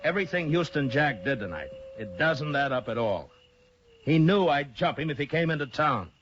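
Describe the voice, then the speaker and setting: An actor playing a steady frontier marshal who speaks in calm, clipped, low-key tones in a 1950s radio drama. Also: male voice, normal speed. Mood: thoughtful. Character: steady, stern frontier marshal, grown man. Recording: dull old radio broadcast with hiss.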